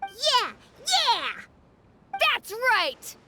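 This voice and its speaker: Squeaky, tiny fairy companion. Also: female voice, huge pitch swings, extremely slow, heavy background noise, exaggerated voice. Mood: cheering. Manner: squeaky, eager cheering. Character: tiny, childlike, excitable fairy companion cheering loyally. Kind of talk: video game dialogue.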